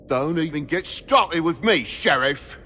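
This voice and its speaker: A man's voice: a little high, animated, unhurried, pushed and bright, a little hiss or hum. An actor with a British accent voicing an irascible, protective toad father in a video game, blustering and indignant. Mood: alarmed, angry. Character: irascible, foul-mouthed toad father, protective of his son.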